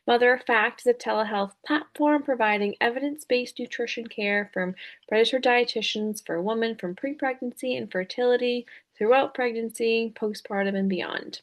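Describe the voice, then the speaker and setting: A woman's voice: on the high side, moderate pace. A woman hosting a webinar as a dietitian, welcoming attendees and introducing the speaker from prepared notes.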